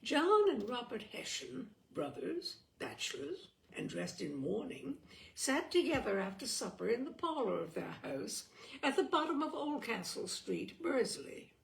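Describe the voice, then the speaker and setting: A woman reading a literary short story aloud in an expressive, measured narrating style. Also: on the high side, creaky. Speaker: story reader.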